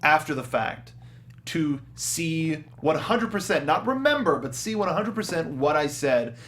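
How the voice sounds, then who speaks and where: A man hosting a casual film discussion show, speaking in a halting, emphatic way. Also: a little high, very animated, fairly bright tone. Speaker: talk show host.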